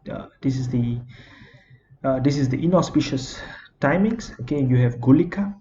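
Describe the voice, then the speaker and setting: Give me a man with a warm dark voice narrating slides, with faint hiss behind him. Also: medium pitch, animated. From Singapore. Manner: halting, frequent filler sounds. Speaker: astrology presenter. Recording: slightly muffled lo-fi recording.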